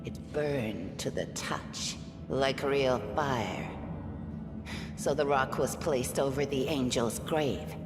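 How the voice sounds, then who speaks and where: Game role: grave, proud mongrel tribeswoman, low voice, slow delivery, stern, reverberant hall. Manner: solemn, measured, stern. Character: stern, fatalistic female mongrel underground tribeswoman, solemn and proud. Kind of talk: video game voice acting. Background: background music.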